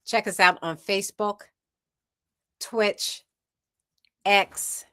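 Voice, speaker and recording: A woman's voice: medium pitch, steady tone, extremely slow, sharp tone, no room sound, clean studio sound. An American woman hosting a live talk show, firmly moderating her panel.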